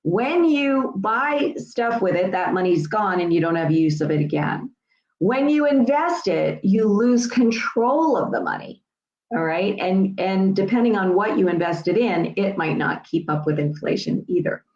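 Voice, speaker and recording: A woman in her sixties hosts a casual, explanatory talk in a slightly low voice, chatty and repetitive, often pausing to check in with her listeners. The sound is dull and lo-fi, with a roomy echo around her. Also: darkish tone. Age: 60s. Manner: chatty, repeats herself, checks in with "all right?" and "okay?". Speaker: host. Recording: echoey room, dull lo-fi sound.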